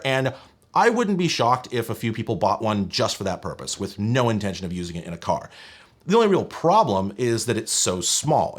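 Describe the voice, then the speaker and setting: A man presenting tech in a scripted but conversational narration, enthusiastic, wry and emphatic. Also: medium pitch.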